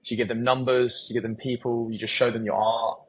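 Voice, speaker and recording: A man's voice: medium pitch, level tone, fast, breathy edge, rough recording. A man hosting a podcast, asking eager questions with a light, jokey touch. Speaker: podcast host. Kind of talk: casual podcast conversation.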